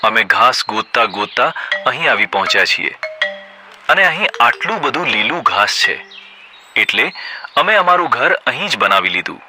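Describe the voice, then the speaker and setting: A man plays a kind, naive male goat in a children's cartoon, talking in a friendly, trusting way. His pitch sits mid-range and moves a lot, over background music. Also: very animated, moderate pace, natural voice. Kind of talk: cartoon voice acting.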